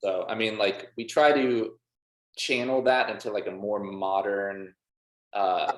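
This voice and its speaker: Low-voiced man chatting in a roomy echo. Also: fairly even intonation, a little slow. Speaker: band member and music producer, interview guest. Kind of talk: casual chat. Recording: roomy, echoing room sound.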